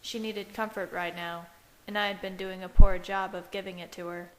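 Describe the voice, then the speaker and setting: A woman's voice: medium pitch, level tone, normal speed, fairly bright tone, long reverb tail, poor audio. A woman reading scripted fiction aloud as an amateur audiobook narrator. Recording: hissy home recording.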